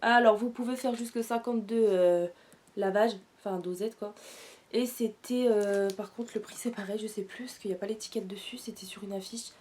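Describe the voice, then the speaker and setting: A woman's voice: medium pitch, fairly even intonation, normal speed, a little mellow. A young woman doing a casual shopping haul, out of breath and congested from a sore throat, rambling with lots of fillers. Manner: chatty, rambling, with many fillers and self-corrections. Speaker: haul vlogger.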